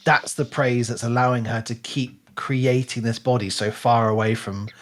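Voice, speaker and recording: A man co-hosting a podcast, talking through a book in casual discussion and retelling it in an animated way. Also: mid-range voice, moderate pace. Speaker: podcast co-host.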